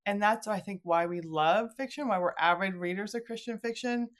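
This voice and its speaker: A woman's voice: mid-range voice, brisk. A woman hosting a conversational podcast interview in an effusive, agreeable manner. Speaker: podcast host.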